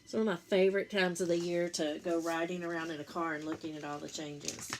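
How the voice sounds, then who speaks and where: A woman's voice: lowish voice, little pitch movement, fast, slight background noise. A woman, a teacher reading a picture book aloud to young children, with simple explanatory asides and questions for her listeners.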